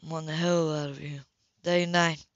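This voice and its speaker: A woman's voice: low-pitched, flat delivery, moderate pace, no echo. A woman reading the part of a hot-tempered, possessive male lover, direct and seductive, in a romance audiobook. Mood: seductive, intense. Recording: dull lo-fi home recording.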